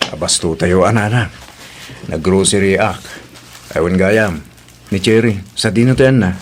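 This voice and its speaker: A man's voice: low voice, unhurried, slight background noise. A man with an Ilocano accent acting a troubled, weary husband in a radio drama. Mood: tired, dutiful. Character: Oliver, troubled husband, weary and later tipsy.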